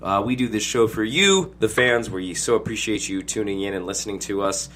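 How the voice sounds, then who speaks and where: Hoarse young man hosting a podcast. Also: on the low side, brisk, fairly bright tone. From Ohio, USA. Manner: rambling, jokey, lots of fillers. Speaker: podcast host. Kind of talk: casual podcast chat.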